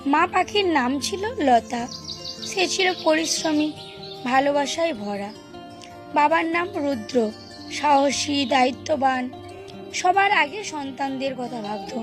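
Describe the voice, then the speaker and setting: A woman narrating a children's cartoon story in a steady, gentle storytelling manner. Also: high voice, takes it slowly, long pauses. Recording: music playing behind. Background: background music.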